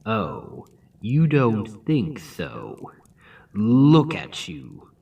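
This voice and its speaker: A man voicing a slow, deep, taunting demon king. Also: huge pitch swings, creaky, dark tone, slightly rough, far from the mic, exaggerated voice. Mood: mocking. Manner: taunting, purring, drops to whispers. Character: scheming male demon king spirit, seductive, mocking. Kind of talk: ASMR roleplay. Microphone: close ASMR mic.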